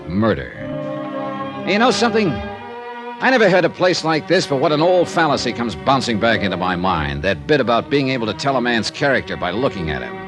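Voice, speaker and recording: An American actor playing a terse, probing, world-weary but fair insurance investigator in a 1950s radio crime drama. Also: male voice, medium pitch, natural voice. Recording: old radio recording with music.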